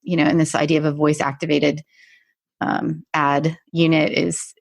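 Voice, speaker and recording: Woman podcast host, pretty low voice, dry sound, agreeable, casual biz chat. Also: flat delivery, normal speed, gravelly, dry recording. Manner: agreeable, frequent short affirmations. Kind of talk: conversational business podcast interview.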